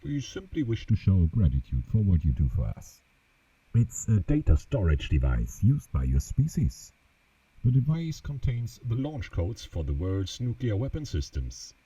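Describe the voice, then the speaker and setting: A man acting a muffled, deep alien visitor. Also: big pitch swings, normal speed, warm tone, breathy edge, dry recording, full character voice. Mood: solemn, formal. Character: alien visitor offering humanity a sinister gift. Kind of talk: scripted dramatic dialogue. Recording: muffled, distant, lo-fi plugin demo playback.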